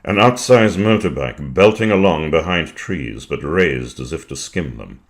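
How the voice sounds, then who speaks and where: A man reading poetry in a measured, dramatic style, with deliberate, precise phrasing and weighted pauses. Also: rumbling low, animated. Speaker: poetry reader.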